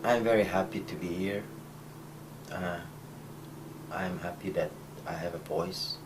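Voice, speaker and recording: A man's voice: low voice, a little slow, background noise. A middle-aged man from Afghanistan with an Afghan accent, chatting in an interview with lots of fillers. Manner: conversational, many fillers, laughs easily. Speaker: interviewee, restaurant owner. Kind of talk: casual interview.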